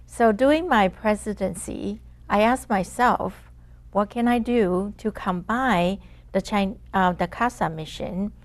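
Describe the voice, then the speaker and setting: A woman's voice: medium pitch, measured pace. A middle-aged woman from Taiwan, a former society president, speaking earnestly as an interview guest, with small grammar slips. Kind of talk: casual interview.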